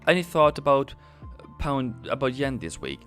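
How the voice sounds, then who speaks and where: A posh, overly polite British gentleman, put on by a man in a raised, plummy voice. The delivery is exaggerated and prim. Faint music plays behind. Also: medium pitch, sing-song, normal speed, voice pushed up. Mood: mock-posh.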